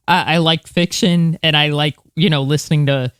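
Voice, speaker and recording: A male podcast co-host with a fairly high voice for a man. He chats in an easygoing, casual way on a very dry recording. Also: fairly even intonation, moderate pace, a bit bright, clean tone, dry recording. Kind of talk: casual chat.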